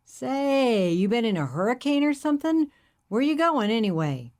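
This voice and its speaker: A woman's voice: on the high side, big pitch swings, moderate pace, a little mellow, voice pushed up. Woman voicing a hippie truck driver, middle-aged man, folksy, drawling, genial. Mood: friendly.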